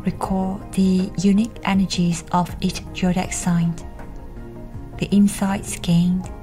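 A woman with a breathy, whispery voice leads a scripted guided meditation in a soothing, unhurried way, with pauses between instructions. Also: fairly low pitch, little pitch movement, warm tone. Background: music.

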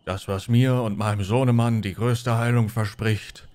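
A man plays a dry, hospitable village father in a tabletop fantasy game. He speaks deliberately and gives orders with authority. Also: fairly low pitch, steady tone, darkish tone, clean tone, natural voice.

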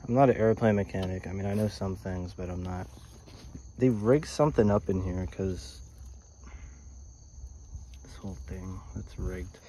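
A man casually vlogging as he explores old airplanes in a rural field, excited, swearing and talking to his viewers on a phone camera. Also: low voice, unhurried, long pauses, poor audio. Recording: phone audio outdoors with insect noise. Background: insects and crickets outdoors.

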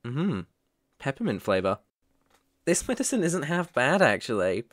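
A man's voice: medium pitch, very animated, moderate pace, slight background noise. A young man playing a caring, playful boyfriend looking after his sick partner in an intimate, soothing ASMR roleplay, close to the microphone. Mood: gently coaxing. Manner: gentle, soothing, affectionate, chuckling often. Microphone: close microphone, dry.

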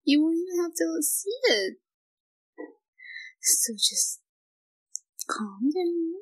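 A young woman playing a shy, obsessive yandere student kidnapper in an intimate audio roleplay, breathy and halting, clingy yet menacing. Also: very high voice, expressive, heavily acted, poor audio. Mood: coaxing.

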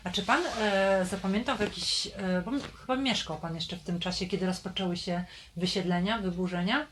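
A woman's voice: mid-range voice, fairly quick, fairly bright tone, a little hiss or hum. A woman interviewing an older local resident for an oral history project, prompting him with questions and short affirmations.

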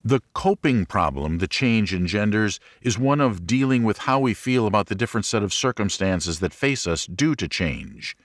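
A man narrating a religious self-help audiobook in a measured, deliberate way with clear phrasing. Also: on the low side, lively intonation, dry recording, clean studio sound.

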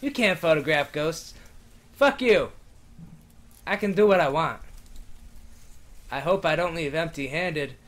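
Excitable lively-voiced gaming streamer man. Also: on the high side, measured pace, pauses often. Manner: loud, excitable, sarcastic, swears freely. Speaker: game streamer. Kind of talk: let's play commentary.

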